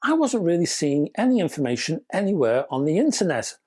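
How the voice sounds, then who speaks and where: British guy doing a gadget review, super lively up and down pitch, chatty and a bit wry. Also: fairly quick, husky. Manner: chatty, wry, emphatic with rhetorical questions. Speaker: product reviewer. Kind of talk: scripted review narration with casual asides.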